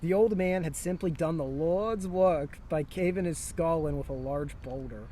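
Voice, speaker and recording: Outdoor ambience with faint vehicle noise sits behind a man with a slightly high voice. He reads a comic fantasy story aloud and drops into casual chat between passages. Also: normal speed, warm tone. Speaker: co-reader in a story read-along. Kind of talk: humorous story reading with casual asides. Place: outdoors near a historic town site.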